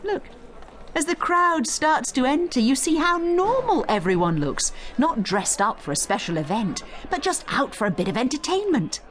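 Lively, expressive woman narrator. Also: high voice, moderate pace. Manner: instructive, expressive storytelling. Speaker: study guide narrator and tour guide. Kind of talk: scripted educational narration, acted as a guided tour.

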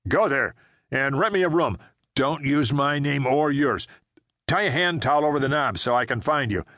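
A man's voice: medium pitch, lively intonation, moderate pace, a bit bright, no room sound, voice pushed up, lightly acted. A man voicing a sweating ex-prisoner of war who barks urgent, terse orders, in a dry, close recording. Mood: commanding. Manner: barking terse commands. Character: agitated man, a Vietnam ex-POW, urgent.

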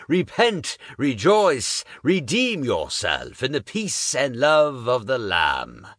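A man's voice: medium pitch, bright, forceful tone, dry recording, clean studio sound, full character voice. A man voicing a bold, fervent preacher who harangues a monster with sermons and insults, his voice almost singing. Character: bold fire-and-brimstone male preacher, booming.

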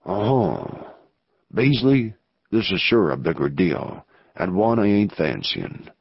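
A gruff, scheming outlaw leader, as a man puts him on speaks in a slow, low frontier drawl. The voice is husky and swings widely in pitch. The audio is dry and somewhat dull. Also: very animated, dry recording, full character voice. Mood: wary drawl.

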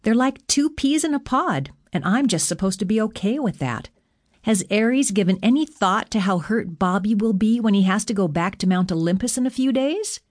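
A woman's voice: mid-range voice, lively intonation, moderate pace, no echo, studio quality, pitched above the performer's own voice, lightly acted. A woman voicing a frustrated, emotional young goddess in an audiobook, with an emphatic, accusing way of talking. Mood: exasperated. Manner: exasperated, emphatic.

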